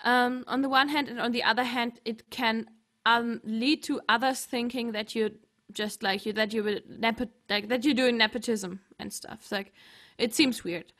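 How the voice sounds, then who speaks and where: A woman's voice: a little high, steady tone, normal speed, fairly bright tone. A young woman from Germany, a teacher, chatting as an interview guest over a video call with many fillers and frequent giggles. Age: young adult. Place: home room. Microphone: headset with large desk mic.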